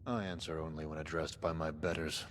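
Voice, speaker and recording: Deep, reverberant, pompous zealot overlord. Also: male voice, fairly even intonation, slight background noise. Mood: cold, threatening. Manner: pompous, archaic, deliberate speech. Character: cold menacing zealot overlord of a post-apocalyptic cult. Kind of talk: scripted game dialogue, dramatic monologue.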